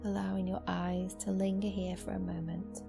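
Softly creaky, even-toned woman guiding meditation. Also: lowish voice. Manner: slow, soothing, gentle instruction with long pauses. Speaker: yoga nidra teacher. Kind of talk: guided meditation. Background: new-age music.

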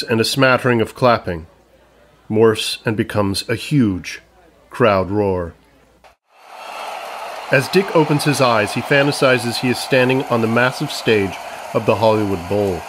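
A man's voice: mid-range voice, background noise. A man reading scripted narration for a comedy story, as its narrator.

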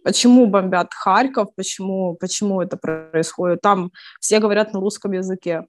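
Young woman on a video call. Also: medium pitch. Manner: earnest, halting with fillers. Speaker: evacuated interviewee.

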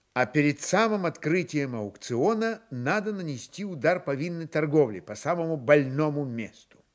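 Guy reading a novel as radio drama narrator, medium pitched voice.

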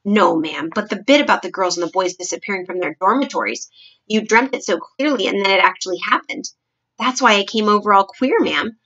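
A woman's voice: medium pitch, fairly quick, a bit bright, dull audio, lightly acted. A woman voicing an anxious, deferential middle-aged maid for a storybook. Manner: deferential, fretful.

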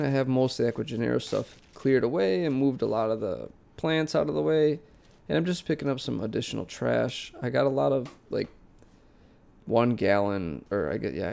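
A man's voice: mid-range voice, normal speed, gravelly. A young man with a congested, nasal cold voice, chatting casually as a vlog host about doing chores in his basement plant room. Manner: relaxed, rambling, conversational. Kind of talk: casual voice-over vlog narration.

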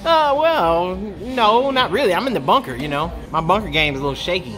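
A man's voice: sits high, animated, a little fast, music in the background. A man in his thirties co-hosting a golf vlog, bantering and joking about his own shots in an indoor golf simulator bay. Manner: joking, self-mocking about his game.

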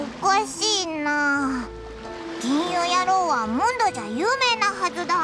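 Squeaky, excitable little fairy guide. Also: female voice, exaggerated voice. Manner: brash, boyish rough speech. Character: tiny childlike fairy guide, cheeky and excitable. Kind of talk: Japanese anime game voice acting.

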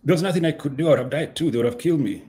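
A man's voice: on the high side, rapid-fire, mellow, close to an everyday voice. A man voicing a tortured male captive in a story reading, desperate, protesting and defiant. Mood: defensive protest.

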